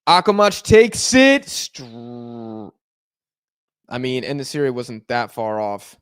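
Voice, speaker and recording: A man hosting a live football watch-along, reacting excitedly to every chance and shouting at near misses, his voice going hoarse. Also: mid-range voice, slow, no echo, studio quality. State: hoarse, losing voice.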